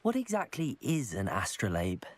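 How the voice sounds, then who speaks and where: A man's voice: lowish voice, huge pitch swings, normal speed. A man playing a polite, curious young student hero in a video game, with a courteous manner. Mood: curious, friendly. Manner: courteous, inquisitive. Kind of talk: video game character acting.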